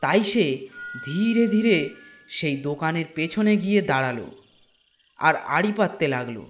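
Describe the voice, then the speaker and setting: A man narrating a scripted horror story, dramatic, with deliberate pauses. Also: a little high, animated. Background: music.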